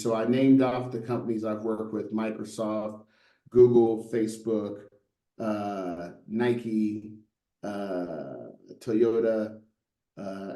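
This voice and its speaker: Animated storytelling man, mellow tone. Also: fairly low pitch, reverberant. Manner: animated storyteller, rhetorical "right?" and asides. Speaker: guest, brand designer. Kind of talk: casual interview chat.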